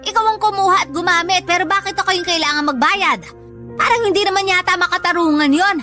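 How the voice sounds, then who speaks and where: A woman's voice: extremely high pitch, quick pace, sharp tone, faint music behind. A woman with a Filipino accent playing a long-suffering, reproachful older mother in a radio drama. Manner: plaintive scolding.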